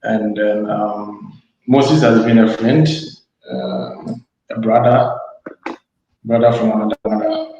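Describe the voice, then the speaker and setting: Young Ghanaian male, unhurried and halting delivery, heard through dull audio in a very reverberant room. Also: lowish voice, sing-song, warm tone. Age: young adult. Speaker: webinar guest, PhD student. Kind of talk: informal interview, introducing himself. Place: study room, online call. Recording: echoey room, muffled online call audio.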